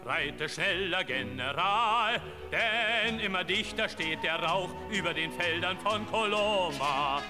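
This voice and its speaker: An actor playing an ominous chorus-narrator, solemnly singing and chanting a warning in a radio drama. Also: male voice, high voice, expressive, takes it slowly, poor audio. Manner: solemn, incantatory, repetitive. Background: orchestral music.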